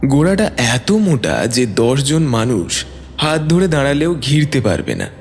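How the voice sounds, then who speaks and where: Male narrator for audio fiction, medium pitch, lively intonation, soft music underneath. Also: a little slow. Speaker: story narrator. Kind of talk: scripted dramatic narration. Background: soft background music.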